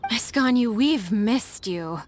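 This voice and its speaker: A woman's voice: on the high side, expressive, slow pace, slight background noise. A woman playing a devoted, admiring young subordinate in a video game, deferential in manner. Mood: reverent. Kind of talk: acted video game dialogue.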